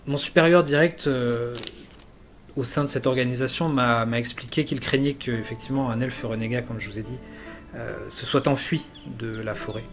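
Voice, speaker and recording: A man voicing an earnest male elf envoy from a jungle land, speaking haltingly with many fillers. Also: mid-range voice, fairly even intonation, fairly bright tone, soft background music, close to an everyday voice.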